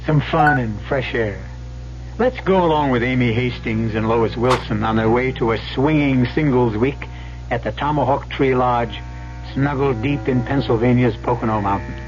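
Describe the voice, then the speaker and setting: A man's voice: mid-range voice, normal speed. Male narrator, sly theatrical host, vintage radio mystery, dull sound, music behind. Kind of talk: 1970s radio drama narration.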